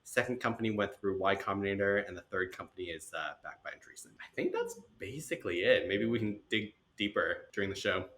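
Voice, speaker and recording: A man's voice: on the low side, fairly quick, hardly any pauses. A man, a podcast guest, talking casually with frequent "right?" and "like". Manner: relaxed, uses "right?" and "like". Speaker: podcast guest, venture partner. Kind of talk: casual conversation.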